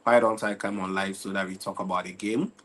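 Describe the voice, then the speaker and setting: A fairly low-voiced young man talks into a desk mic on his own livestream. He sounds tired and relaxed, repeats himself and keeps checking whether viewers can hear him. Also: brisk. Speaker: livestream football talk host. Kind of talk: casual livestream chat.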